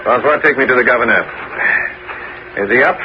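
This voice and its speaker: A man in a 1970s radio drama playing a scheming, smooth-talking bishop, coaxing and commanding with feigned politeness. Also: medium pitch, a little fast, lightly acted. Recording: noisy, dull 1970s radio broadcast.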